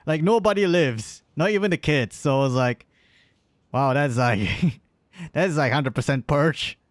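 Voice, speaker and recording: A man's voice: fairly high pitch, unhurried, no echo, clean studio sound. Casual man rambling on a game stream. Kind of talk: casual live gaming commentary.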